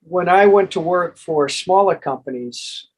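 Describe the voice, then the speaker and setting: A man with an American accent and a husky voice, presenting in an informal monologue and reading from a book. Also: sits high, unhurried. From United States. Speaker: presenter and reader.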